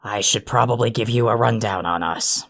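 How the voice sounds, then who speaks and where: An actor performs a cranky alien troll for an audio drama. The voice is gravelly and full of creak. The delivery is bossy, pompous and scathing, like someone forever fed up. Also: medium pitch, normal speed, a bit bright. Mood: pompous, grumpy. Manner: ranting, insulting, pompously wordy. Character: irritable, foul-mouthed, bossy alien troll, self-important.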